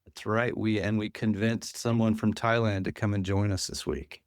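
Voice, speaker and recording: Upbeat male podcast host, low voice. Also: little pitch movement, moderate pace, no echo, studio quality. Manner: upbeat, friendly, restarts often. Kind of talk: casual interview chat.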